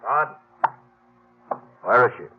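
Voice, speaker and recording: A man's voice: mid-range voice, expressive, slow, slightly rough, phone-quality sound, exaggerated voice. An actor playing a hard, commanding outlaw gang boss in an old-time radio western, terse and menacing as he gives orders. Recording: thin band-limited old radio recording.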